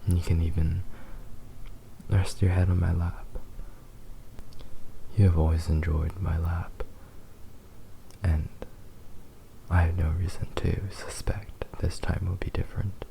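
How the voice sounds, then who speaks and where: ASMR roleplay: doting, possessive male fox demon, played by a man; dark mellow tone, very even, hushed and soothing. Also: deep voice, a little hiss or hum, poor audio. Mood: tender, adoring. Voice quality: breathy, whispery. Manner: slow, tender, soothing, halting.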